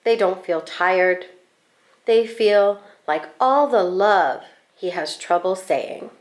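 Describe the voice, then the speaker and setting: Woman reading a picture book, slow and deliberate. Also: mid-range voice. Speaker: school staff storybook reader. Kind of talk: expressive children's picture book read-aloud.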